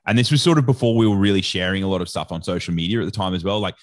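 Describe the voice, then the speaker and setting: A man's voice: sits low, rapid-fire, no room sound, clean studio sound. A man with an Australian accent telling a story casually as an interview guest, in slangy, informal speech full of reported dialogue. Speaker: interview guest, sports nutrition educator.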